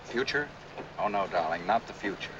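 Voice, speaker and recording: An actor playing an eager, confident young bridegroom in brisk 1940s film style. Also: male voice, low-pitched, expressive, lo-fi sound. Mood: affectionate. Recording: noisy old film soundtrack, rain and car.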